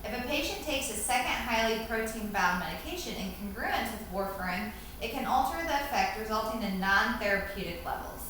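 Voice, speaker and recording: A woman with a bright, forceful voice recites scripted teaching material in a formal way. The recording is very echoey, with faint noise behind her. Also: a little high, animated, fairly quick, hardly any pauses. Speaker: co-presenter answering questions. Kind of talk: scripted educational presentation, read out.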